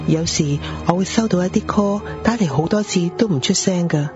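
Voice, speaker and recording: An actress plays a polite night-shift pager operator in a ghostly radio drama. Her female voice is fairly low, with a Hong Kong accent, over prominent music in a dull recording. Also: normal speed, lightly acted. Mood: storytelling, eerie. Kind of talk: scripted radio drama narration. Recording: dull lo-fi audio under music.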